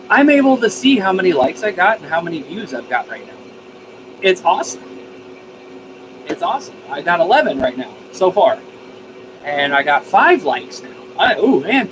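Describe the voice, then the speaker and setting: An enthusiastic man with a slightly high-pitched voice hosts a casual live stream. He talks in a folksy, informal and effusive way, with clear background noise behind him. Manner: folksy, informal, mildly profane. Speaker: live stream host of a DIY radio channel.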